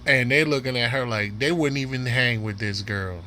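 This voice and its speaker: A man's voice: mid-range voice, normal speed, bright, forceful tone. A man commentating casually off the cuff as a reaction host, repeating himself as he urges viewers to watch. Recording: faint background noise.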